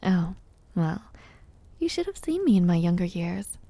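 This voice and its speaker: A woman playing a wistful, gently teasing royal mother in an intimate audio roleplay, soft-spoken and measured, often dropping toward a whisper. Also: lowish voice, very animated, no room sound, exaggerated voice. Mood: wistful, resigned.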